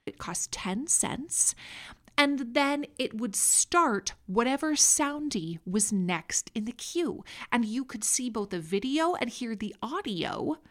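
A woman's voice: fairly high pitch, expressive, slow pace. A woman with a Minnesota American accent hosting a podcast, telling history in a conversational storytelling style. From Minnesota, United States. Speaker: podcast host.

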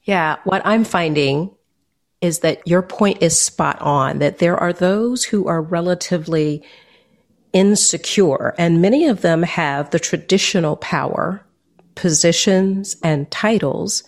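A woman's voice: lowish voice, measured pace. A woman interviewer who speaks with enthusiasm, explains her ideas through metaphors and asks probing questions. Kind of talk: conversational interview.

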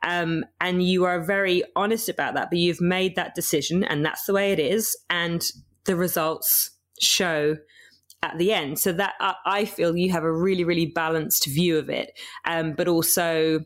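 Croaky-voiced woman podcast host. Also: fairly low pitch, fairly even intonation, normal speed. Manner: chatty, many ums and restarts. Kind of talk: casual interview chat.

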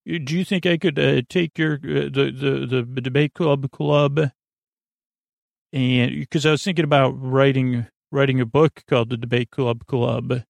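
A man hosting a sleep podcast in a goofy, meandering monologue, halting and trailing off mid-thought. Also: mid-range voice, fairly even intonation, creaky, no echo. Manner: goofy, whimsical. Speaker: podcast host.

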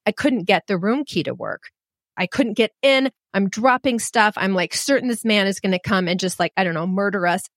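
A woman's voice: mid-range voice, normal speed, no echo, studio quality. Frank middle-aged woman telling her story. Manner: frank, animated, self-deprecating. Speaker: storyteller guest. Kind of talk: candid personal storytelling.

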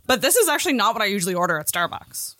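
Bright, animated woman chatting. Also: mid-range voice, very fast, no echo, studio quality. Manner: chatty, emphatic, swears freely. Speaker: podcast co-host. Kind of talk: casual chat.

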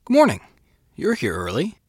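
A man's voice: medium pitch, huge pitch swings, moderate pace, breathy edge, no echo, clean studio sound. An actor playing a keen police detective in his thirties in a crime audio drama. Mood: intrigued.

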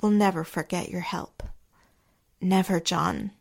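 A woman's voice: fairly low pitch, steady tone, a little slow, creaky, darkish tone. An actress playing a fragile, devoted wife in despair, her voice tearful and breaking, in 1940s-style radio melodrama. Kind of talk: 1940s radio drama acting.

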